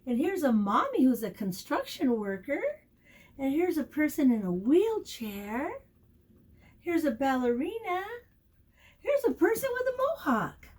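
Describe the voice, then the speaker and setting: A woman's voice: high-pitched, big pitch swings, unhurried, darkish tone. A woman teacher leading a storytime and vocabulary lesson for young children, speaking expressively and encouragingly, with questions to her listeners and key words stretched out. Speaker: preschool teacher reading a picture book aloud.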